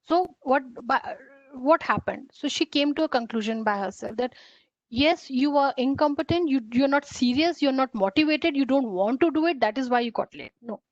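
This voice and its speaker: Indian woman, higher voice, earnest online lecturer, muffled dry call audio. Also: normal speed, dry recording. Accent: Indian English. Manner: earnest, repetitive, many fillers and restarts. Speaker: faculty development trainer.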